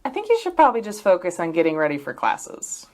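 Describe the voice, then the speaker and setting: A skeptical, practical woman academic in an audio drama, played by an actress. Her voice sits a little low, and she has a dry, questioning way of talking. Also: huge pitch swings, fast, a little mellow. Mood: skeptical, puzzled.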